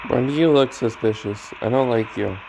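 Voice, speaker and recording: A woman's voice: a bass voice, moderate pace, creaky, noisy background. A woman giving casual game playthrough commentary in dry, teasing asides. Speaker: gaming streamer.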